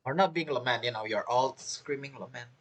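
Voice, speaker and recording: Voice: medium pitch, brisk, fairly bright tone. A game streamer giving chatty, reactive commentary over a playthrough. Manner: chatty, reactive, often laughing. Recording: game music behind the voice.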